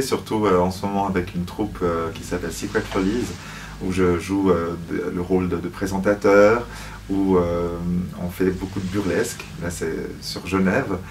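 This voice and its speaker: A man's voice: sits low, recorded from a distance, a little hiss or hum. A man giving reflective interview answers as a guest, hesitant and searching for words. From Switzerland (Lausanne), born in Paris. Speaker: musical theatre actor, interview guest.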